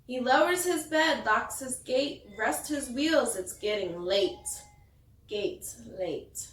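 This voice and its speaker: A woman with a fairly high voice reads a children's bedtime story at an unhurried pace. Her delivery is expressive and sing-song, leaning on the rhymes as a teacher would for young listeners. The sound is roomy and echoey. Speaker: teacher reading a picture book to children. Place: echoey indoor room. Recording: echoey room, distant mic.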